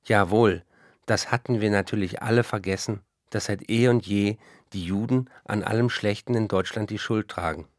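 An actor in a radio play voicing an embattled, wry German novelist of the 1930s, reading out letters and diary entries. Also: male voice, fairly low pitch, normal speed, no room sound, studio quality, lightly acted. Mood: bitterly sarcastic.

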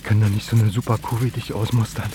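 An actor playing a tender, anxious farm man who murmurs softly to his animal in an intimate German radio play. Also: fairly low pitch, little pitch movement, fast, natural voice. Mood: tender, reassuring.

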